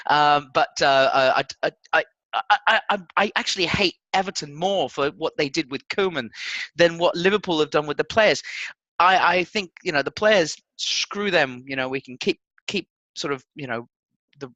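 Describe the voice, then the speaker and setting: A man's voice: on the high side, sharp tone, dry recording. A man co-hosting a football podcast, chatting casually and repeating words as he thinks aloud. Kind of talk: casual chat, football banter.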